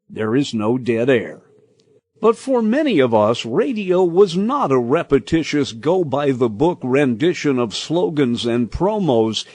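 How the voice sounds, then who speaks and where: An older American man reading a scripted, nostalgic personal commentary in a deliberate, expressive storytelling manner. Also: on the high side, dry recording. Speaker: radio commentator.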